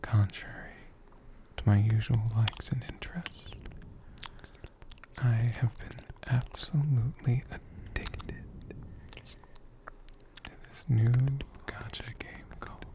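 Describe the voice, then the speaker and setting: A soft ASMR host speaks in a breathy whisper. The delivery is slow and gentle, with deliberate pauses between short phrases. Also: mid-range voice, low-quality recording. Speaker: host of an ASMR channel answering viewer questions.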